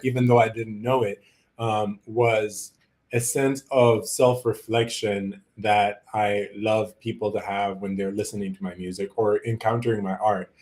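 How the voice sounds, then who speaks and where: A man from Malawi, a native Chichewa speaker, giving earnest, reflective interview answers in a halting, conversational way. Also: fairly low pitch. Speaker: interview guest, musician and visual artist. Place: his art studio, on a video call.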